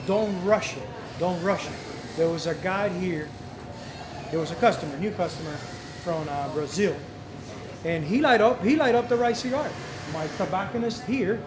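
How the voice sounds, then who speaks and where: A man's voice: high-pitched, noisy background. A man whose first language is not English hosts a live cigar lesson in a cigar shop, coaching patiently and repeating key points. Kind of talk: live instructional talk. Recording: echoey room with crowd chatter.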